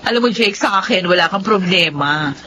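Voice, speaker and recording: A woman's voice: medium pitch, lively intonation, normal speed, close to an everyday voice. A woman playing a pushy, bubbly, shameless friend in a Filipino radio drama, with a Filipino accent. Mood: breezy, insistent. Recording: dull, noisy lo-fi radio recording.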